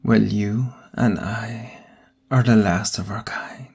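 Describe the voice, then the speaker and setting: A man playing a brooding, seductive vampire lover in an ASMR roleplay, often whispering, with intimate dramatic pauses. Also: lowish voice, steady tone, vocal fry, darkish tone, slightly rough, full character voice. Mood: tender, seductive.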